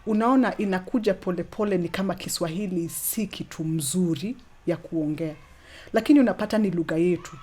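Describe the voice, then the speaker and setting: A woman's voice: on the low side, fairly quick, darkish tone, breathy edge. A woman with a Kenyan accent talking conversationally as an interview guest, in an earnest, encouraging manner. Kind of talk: conversational talk, mixing Swahili and English.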